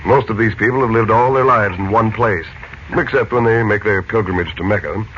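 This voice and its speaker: An actor playing a steady, authoritative uncle who leads an expedition, in 1940s radio serial style. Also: male voice, fairly low pitch, brisk, natural voice. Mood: probing, serious. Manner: authoritative, probing. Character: steady, take-charge American uncle leading an expedition. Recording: muffled, noisy old radio recording.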